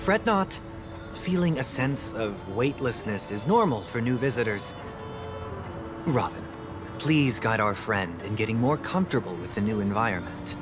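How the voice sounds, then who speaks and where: An actor playing a calm, polished gentleman host in a video game, speaking in a courteous, formal way. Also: on the low side, moderate pace, music in the background, natural voice. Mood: affable, reassuring.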